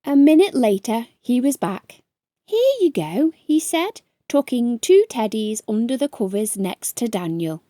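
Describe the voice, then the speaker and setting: A woman reading a children's bedtime story aloud with expressive, careful phrasing. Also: fairly high pitch, measured pace, few pauses, dry recording, clean studio sound.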